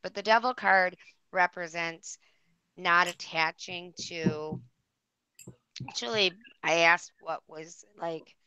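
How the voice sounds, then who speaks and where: A woman with a hoarse voice giving a tarot reading on air, rambling and searching for words. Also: on the low side, steady tone, takes it slowly, sharp tone, poor audio. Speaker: psychic reader.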